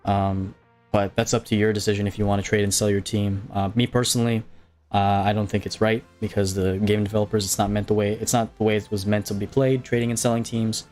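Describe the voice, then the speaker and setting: A man's voice: on the low side, flat delivery, fairly quick, a little mellow, faint music behind. A man with a slight Hawaiian accent, chatting casually on a game live stream, rambling with fillers and restarts as he answers viewers. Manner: rambling, many fillers and restarts, reading chat aloud.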